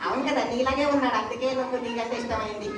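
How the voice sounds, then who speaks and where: A woman's voice: fairly high pitch, steady tone, racing, lightly acted. A woman voicing a cheeky, teasing little puppet boy in a children's show, with an eager, mischievous, childlike way of talking. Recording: echoey, very noisy hall, distant sound.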